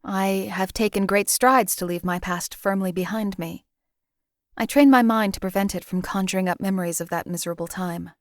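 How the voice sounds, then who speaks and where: A woman's voice: mid-range voice, dry recording, lightly acted. A sardonic female assassin who was once a slave, as a woman voices her, cold and precise. She cuts people down in a dry, condescending way. The studio sound is dead dry and close. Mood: composed. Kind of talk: game companion voice lines.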